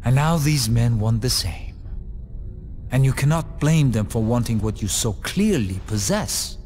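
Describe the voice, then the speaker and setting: An actor playing a wise, grave older man held captive, speaking in a measured, earnest way in a game cutscene. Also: medium pitch, lively intonation, a little mellow, soft background music, lightly acted. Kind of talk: video game cutscene acting.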